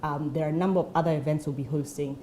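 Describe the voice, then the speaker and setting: A woman's voice: low voice, fairly even intonation, rapid-fire, dark tone, slight background noise. A woman from Ghana, an education program founder, answering earnestly in a TV interview with frequent fillers.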